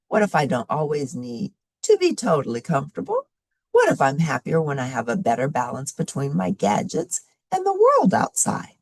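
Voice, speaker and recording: A woman plays an easygoing, amusing couch potato telling a children's storybook tale. The delivery is dramatic and unhurried, with huge swings in pitch. The voice has a creaky, gravelly edge. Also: a little mellow, no room sound, full character voice. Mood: reflective, cheerful.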